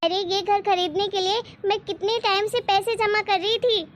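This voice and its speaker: A woman's voice: extremely high pitch, steady tone, moderate pace, a little hiss or hum. A woman voicing an emphatic, devoted female character in a Hindi cartoon family story. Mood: insistent. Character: excited, devoted young daughter and her protective mother.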